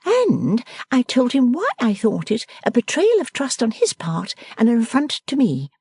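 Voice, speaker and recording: A woman's voice: fairly high pitch, wildly swooping pitch, normal speed, a little mellow, breathy edge, dry recording, pitched above the performer's own voice, full character voice. A woman reader voicing a playful, teasing young woman who talks in an emphatic, theatrical way.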